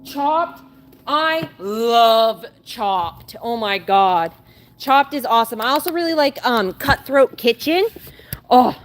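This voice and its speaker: A woman in her late twenties chatting casually and informally on a live workout stream, often repeating herself. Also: on the high side. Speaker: livestreamer doing a workout live. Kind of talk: casual livestream chat while exercising. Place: indoor gym. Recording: noisy gym ambience, varying mic distance.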